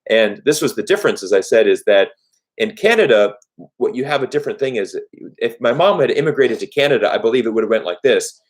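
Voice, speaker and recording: A man, raised speaking German first, hosts a live-stream Q&A at a medium pitch. He talks earnestly and off the cuff, circling back on his phrases and filling gaps with "you know". His delivery is sincere and conversational. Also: animated, fast. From United States. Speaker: YouTube host.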